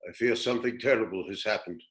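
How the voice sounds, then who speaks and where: A man's voice: fairly low pitch, quick pace, lightly acted. A man playing a grave, wise old mentor, solemn and instructive, in a scripted reading. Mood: troubled. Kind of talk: scripted table read acting.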